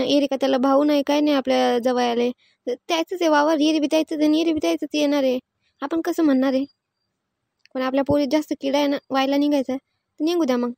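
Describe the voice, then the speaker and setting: A quarrelsome village woman of cartoon comedy, acted by a woman, high-pitched and quick. She speaks rural Varhadi Marathi with a blunt, exasperated, complaining manner, recorded close and dry. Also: gravelly, a little mellow, no echo. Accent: rural Marathi, Varhadi dialect. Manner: scolding, complaining. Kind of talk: animated family comedy dialogue.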